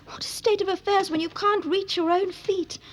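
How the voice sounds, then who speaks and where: A woman voicing a curious, polite little girl in a cartoon, with a proper, expressive and dramatic manner. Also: very high voice, normal speed. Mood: alarmed. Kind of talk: animated cartoon dub acting.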